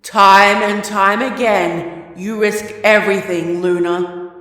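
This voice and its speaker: A woman voicing a slow, echoing pony monster. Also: medium pitch, steady tone, a bit bright, soft background music. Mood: scornful menace. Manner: slow, looming, scornful. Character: menacing female sun-monster alter ego, fanatical. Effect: echoing reverb. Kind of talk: audio drama reading. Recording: echoey, long-reverb processed voice.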